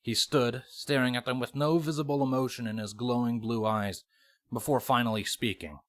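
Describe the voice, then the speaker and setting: A man's voice: mid-range voice, steady tone, normal speed. A man reading fan fiction as scripted audiobook narration, in a dramatic storytelling manner.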